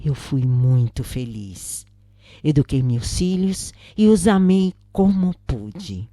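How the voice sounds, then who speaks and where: A Brazilian woman narrates a scripted account in a very low voice. Her delivery is slow and measured, with a soft, mellow tone. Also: lively intonation, dry recording, studio quality. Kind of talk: scripted narration of a first-person spiritist account.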